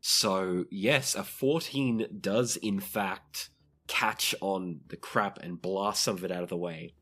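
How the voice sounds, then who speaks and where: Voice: low voice, slow pace, fairly bright tone. A game master running a tabletop role-playing game, narrating scenes and calling for rolls in a conversational way.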